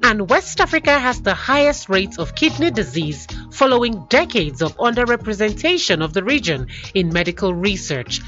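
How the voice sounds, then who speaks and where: A woman presenting a radio news program, reading a scripted report with a lively, clearly paced delivery. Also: mid-range voice, normal speed, hardly any pauses, dull audio, soft background music.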